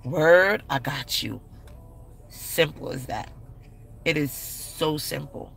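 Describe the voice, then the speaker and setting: A woman's voice: low voice, lively intonation, takes it slowly, vocal fry, fairly bright tone, a little hiss or hum. A woman in her thirties giving relationship advice in a blunt, candid, slangy way. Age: 30s. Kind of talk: casual advice talk to camera.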